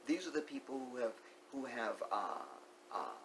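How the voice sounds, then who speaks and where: A man vlogging an opinionated monologue. He repeats key phrases, asks the viewer rhetorical questions and sometimes sinks to a hushed whisper. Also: medium pitch, big pitch swings, moderate pace, gravelly, slight background noise.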